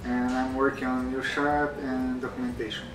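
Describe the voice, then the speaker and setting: A man introducing himself slowly and haltingly. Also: mid-range voice, level tone. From Brazil. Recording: roomy, distant mic. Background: guitar music.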